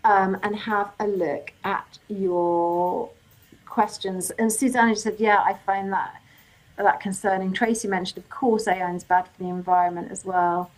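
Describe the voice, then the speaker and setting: A woman hosting a live legal webinar, reading out audience questions and answering them informally, her voice getting croaky. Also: medium pitch, steady tone, a little slow, rough recording. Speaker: legal workshop host.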